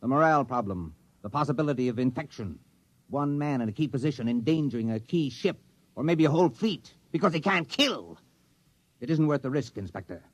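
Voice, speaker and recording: A man's voice: mid-range voice, lively intonation, brisk, no echo, close to an everyday voice. A man playing a condescending, authoritative military commander with a dry manner in a 1950s radio drama. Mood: grave, decisive.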